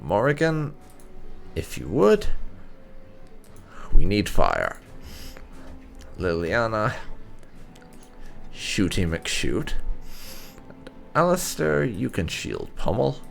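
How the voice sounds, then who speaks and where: A man's voice: fairly low pitch, wildly swooping pitch, drawn-out words, creaky, long pauses. A man giving casual gameplay commentary as a video host, laid-back and dryly joking. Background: game music.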